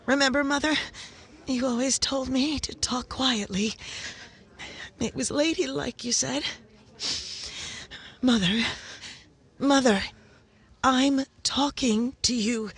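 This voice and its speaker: A woman's voice: high voice, slightly rough, heavily acted. A woman playing a young bride trapped alive, pleading in anguish in a 1930s-style radio horror drama. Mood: desperate. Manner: slow, anguished, tearful pleading.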